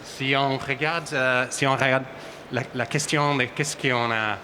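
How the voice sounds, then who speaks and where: An Australian man, English his first language, speaking on a conference panel in a bright, forward voice. He stumbles and restarts as he reaches for words, and a faint hum of the hall sits behind him. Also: mid-range voice, fairly even intonation. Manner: halting, searching for words, many restarts and fillers. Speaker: researcher and panelist.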